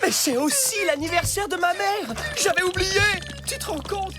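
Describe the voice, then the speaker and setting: Cartoon sidekick animal, male, sensible and exasperated, very lively mid-range delivery, music underneath. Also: very high-pitched, moderate pace. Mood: pleading.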